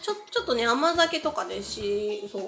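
A woman's voice: a little high, lively intonation, moderate pace, gravelly, fairly bright tone. A playful, teasing woman who laughs often, answering questions casually as a guest on a radio talk. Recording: roomy echo, music behind. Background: background music.

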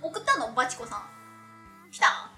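Very high, bright-voiced woman chatting. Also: huge pitch swings, moderate pace, echoey room, soft background music. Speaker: guest on a radio talk show. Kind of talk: casual, playful radio chat.

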